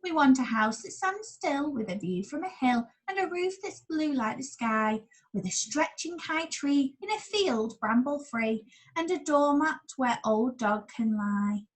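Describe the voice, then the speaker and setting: A woman's voice: sits high, expressive, unhurried, voice pushed up, rough recording. A woman voicing a kindly, jaunty former pirate man in a children's storybook reading. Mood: earnest, hopeful. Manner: jaunty, exclaiming.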